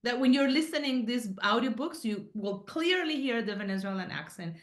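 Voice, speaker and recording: A woman's voice: on the high side, animated, normal speed. A woman from Medellín with a Colombian paisa accent, explaining things in an enthusiastic, conversational way as an author and teacher. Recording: roomy, echoey sound.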